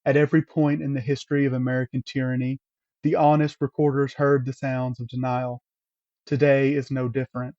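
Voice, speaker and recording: A man reading an essay aloud at a table reading in a steady, measured way. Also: medium pitch, no echo, dull audio. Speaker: reader at a church table reading.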